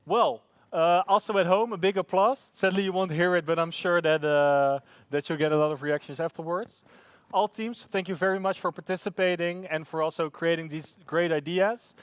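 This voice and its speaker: An upbeat man hosts a live event, pausing often on fillers. His voice sits a little high, and the sound is dry and close. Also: clean tone, no echo. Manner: upbeat, hesitant with many fillers. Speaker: event host.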